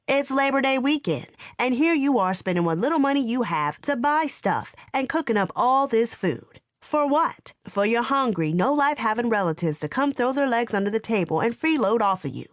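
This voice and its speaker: A bitter middle-aged aunt rants in a raised, cutting voice, as a woman reads her lines. She sounds higher and louder than the reader's own voice, dry and close to the mic. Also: animated, normal speed, few pauses, no echo, close to an everyday voice. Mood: angry complaining. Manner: sharp, complaining, confrontational.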